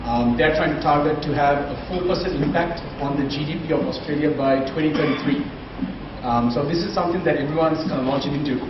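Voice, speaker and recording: A man moderating a conference panel, briskly framing questions with frequent tag questions. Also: on the high side. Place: conference room. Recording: dull, roomy, distant, noisy.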